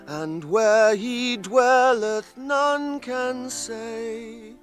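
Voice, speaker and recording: An actor in a radio drama playing a plain-spoken, loyal hobbit servant with a homely, blunt manner. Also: male voice, very high voice, very slow, faint music behind, heavily acted. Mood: solemn reciting.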